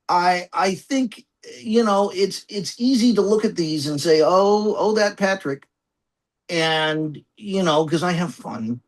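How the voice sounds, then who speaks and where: A man speaking as a guest author in a conversational interview, reflective and halting, often circling back to repeat phrases. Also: fairly high pitch.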